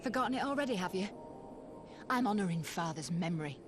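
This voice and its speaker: A woman's voice: medium pitch, animated, fast, music in the background, close to an everyday voice. A woman playing a bitter, defiant daughter turned soldier in a video game cutscene. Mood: cold, bitter.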